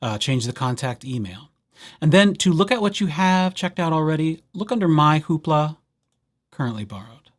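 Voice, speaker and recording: A man presenting a casual software tutorial as a library host, with playful, wry asides. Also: a little high, lively intonation, normal speed. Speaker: library presenter hosting a digital services tutorial.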